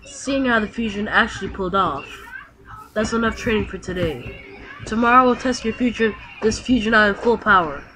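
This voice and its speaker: A woman improvising roleplay dialogue and narration for a Minecraft video in a halting way. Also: mid-range voice, background noise.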